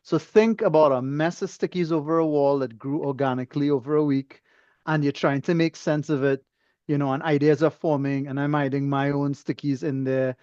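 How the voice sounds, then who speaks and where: A man's voice: a little high, fairly even intonation, normal speed, darkish tone, clear, resonant tone, dry recording. A Trinidadian man musing discursively as a podcast guest, heard through slightly muffled, very dry audio. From Trinidad and Tobago. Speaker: interview guest, engineer. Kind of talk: conversational, reflective interview.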